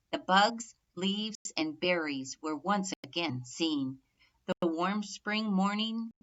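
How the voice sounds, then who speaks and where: A woman reading a rhyming children's story aloud expressively, measured and emphatic on the rhymes. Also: medium pitch, fairly bright tone, dull audio. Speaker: storybook reader.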